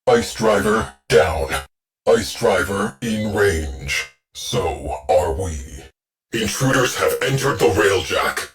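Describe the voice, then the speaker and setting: An actor playing a calm, terse male ship AI that gives clipped, deadpan tactical orders in a video game. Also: low-pitched, takes it slowly, faint music behind. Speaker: ship's onboard AI.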